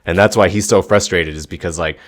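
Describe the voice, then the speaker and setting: Very deep-voiced man explaining casually. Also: fairly even intonation, fairly quick. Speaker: podcast co-host.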